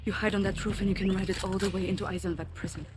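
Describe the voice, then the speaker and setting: A woman playing a calm resistance woman. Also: medium pitch, very fast, background noise, close to an everyday voice. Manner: calm, direct planning. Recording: game cutscene audio over music.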